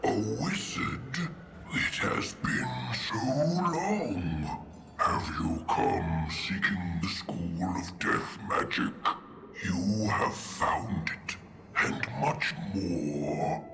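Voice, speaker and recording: Very deep, slow ancient spirit. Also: male voice, very animated, vocal fry, exaggerated voice. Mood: solemn, mournful. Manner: solemn, grave, drawn-out delivery. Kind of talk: video game character acting. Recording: music behind the voice.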